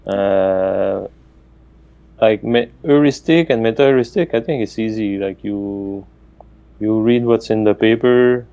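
Italian guy, low mellow voice, lots of uhs and restarts, chatting about research. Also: unhurried, creaky, lo-fi sound. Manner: hesitant, many fillers and restarts. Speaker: researcher guest. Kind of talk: casual academic conversation.

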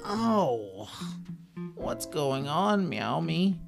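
Man voicing a flustered, high-pitched girl kitty. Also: big pitch swings, drawn-out words, creaky, loud music behind the voice, full character voice. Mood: puzzled. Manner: wailing, exclamatory, flustered. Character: hapless, bewildered, anxious female kitty cat.

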